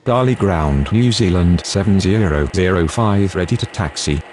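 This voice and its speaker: A man's voice: sits low, lively intonation, normal speed, darkish tone, slight background noise. A man voicing a procedural airport radio operator, speaking formal, clipped aviation phraseology in a game. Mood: businesslike. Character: procedural male airport controller and pilot radio voice. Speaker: air traffic control radio voice.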